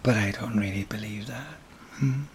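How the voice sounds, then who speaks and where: A slightly hoarse man with an Irish accent, whispering softly with gentle chuckles in an ASMR boyfriend roleplay. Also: on the low side, moderate pace, a little hiss or hum.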